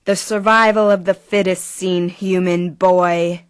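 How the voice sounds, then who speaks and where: A woman's voice: fairly low pitch, steady tone, unhurried, smooth, no echo, natural voice. Arrogant female clone for audio drama, played by an actress: seductive, condescending, verbose, dry close sound. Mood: scornful, taunting.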